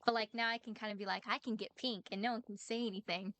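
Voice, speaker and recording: A woman's voice: mid-range voice, steady tone, fast talker, fairly bright tone, no echo. A young woman chatting casually as a game streamer, talkative and scattering "like" through her sentences. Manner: chatty, uses "like" constantly. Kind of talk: casual gaming stream chat. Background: game music.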